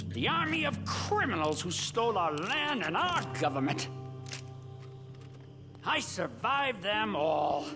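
Slow, bitter Confederate holdout. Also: male voice, high-pitched, heavily acted. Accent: American. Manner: slow, grandiose, embittered speechifying. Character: defiant, bitter old Confederate holdout raider. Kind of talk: video game dramatic monologue.

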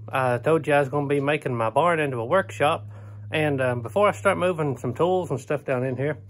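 A man, chatting easygoing and folksy about a barn project, with plenty of ums and uhs, recorded on a handheld phone in a wooden barn. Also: a little high. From Eastern Kentucky. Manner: easygoing, folksy, with many "um" and "uh" fillers. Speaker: homesteader vlogger. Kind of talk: casual DIY vlog talk. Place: inside an old wooden barn. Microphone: phone camera at arm's length.

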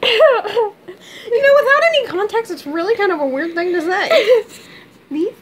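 Giggly high-voiced teenage girl. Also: lively intonation, unhurried, slight background noise. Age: teens. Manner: giggly, teasing. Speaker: vlogger. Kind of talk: casual chat. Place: dim bedroom at night. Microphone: phone held at arm's length.

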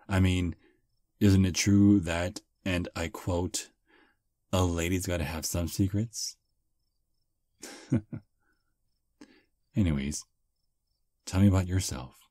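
A man voicing a friendly 215-year-old male vampire on a first date in an ASMR roleplay, soft-spoken and slightly halting. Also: low voice, fairly even intonation, dry recording. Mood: easygoing.

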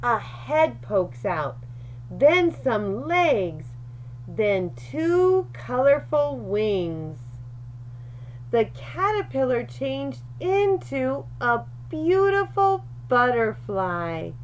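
A woman reads a picture book to young children. Her voice is high and swings widely in pitch, and she takes her time over each phrase, very slowly and deliberately. There is faint noise behind her. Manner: animated, deliberate, with questions and asides to young listeners. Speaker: read-aloud storyteller for young children. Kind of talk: expressive picture-book read-aloud.

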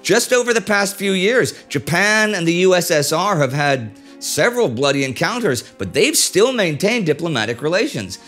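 A man's voice: high voice, sing-song, moderate pace, few pauses, fairly bright tone, soft background music. A middle-aged man hosting a history show, presenting a script in a conversational way with wry asides, seated at a desk in a studio set with a lapel mic. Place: indoor studio set styled as an office.

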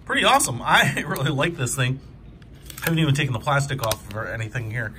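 A man's voice: mid-range voice, lively intonation, quick pace, noisy background. A man giving a casual hands-on product review in an informal, enthusiastic, conversational way.

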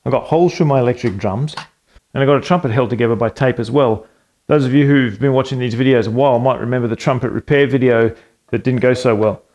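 Middle-aged male creator, home studio desk, easygoing and rambling with lots of ums, everyday mid-pitched voice. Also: fast talker. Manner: relaxed and rambling, with fillers and self-corrections. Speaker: home music producer and channel host. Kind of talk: casual walkthrough of a home recording. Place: small home studio room at a computer desk.

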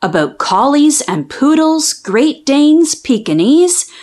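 A woman reading a children's picture book aloud in an expressive, sing-song storytelling manner, drawing out the rhyming lines. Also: sits high, slow.

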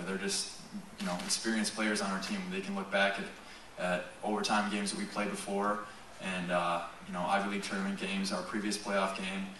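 Young male athlete, postgame presser, even and unhurried, lots of fillers; table mic, caught from across a hall with faint ambience. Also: on the low side, slight background noise. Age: young adult. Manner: measured, fills with "you know" and "um". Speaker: college lacrosse player. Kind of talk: postgame press conference answers. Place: press conference room. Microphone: table mic, recorded from a far camera. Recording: roomy echo from far off.

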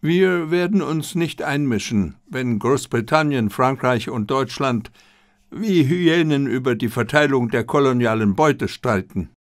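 A man voicing an old, proud, disciplined Japanese army general who speaks in a formal, measured way. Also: mid-range voice, animated, no echo, studio quality, voice pushed up. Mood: stern, resolute.

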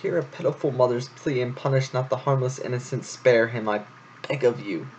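A man's voice: medium pitch, brisk, a little hiss or hum, natural voice, low-quality recording. A man voicing a melodramatic female mouse actress who declaims and pleads in an overwrought way. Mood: pleading.

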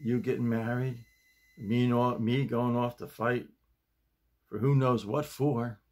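An older man, about seventy, speaks very slowly in a mid-pitched voice. His delivery is plain and halting, recorded indoors in a small home office. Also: fairly even intonation, a little mellow. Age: older, around 70. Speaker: YouTube host and playwright reading own work. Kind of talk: script reading and casual vlog talk.

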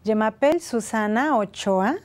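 A woman in her forties, a native Spanish speaker with a Mexican accent in French, teaching a beginner language lesson in a deliberate, carefully enunciated way. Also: on the high side, lively intonation. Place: indoor studio set with chalkboard. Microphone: lapel.

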